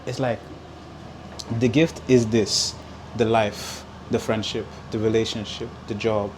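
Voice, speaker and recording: A man hosting a relaxed podcast conversation, with Igbo as his first language. He talks in loose, animated bursts, leaning on fillers and restarting phrases. Behind him the recording carries a steady hiss and the hum of an air conditioner. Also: on the low side, a little slow. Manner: animated, talks with filler likes and repeats words. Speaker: podcast host. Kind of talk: casual podcast chat.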